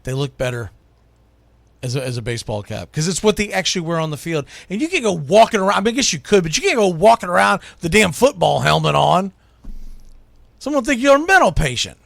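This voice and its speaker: A man's voice: high voice, big pitch swings, fast talker, creaky, fairly bright tone, no echo. A man from the United States hosting sports radio, talking casually and with firm opinions as he counts down a list, leaning on key words.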